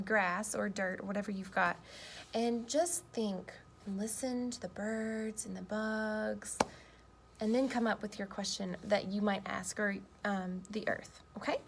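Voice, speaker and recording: A woman speaking as a teacher, reading a storybook aloud and talking to children. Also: on the high side. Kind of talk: children's storybook read-aloud and lesson talk. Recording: clear background noise.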